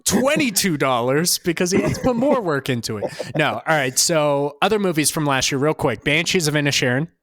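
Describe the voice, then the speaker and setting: A man's voice: fairly high pitch, very animated, a little fast, hardly any pauses, a bit bright. A man co-hosting a casual movie podcast, full of playful jokes and banter. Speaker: podcast co-host.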